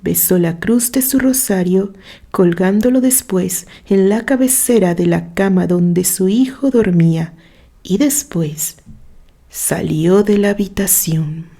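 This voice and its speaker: A woman narrating a scripted story in a gentle, sentimental way. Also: medium pitch, slow.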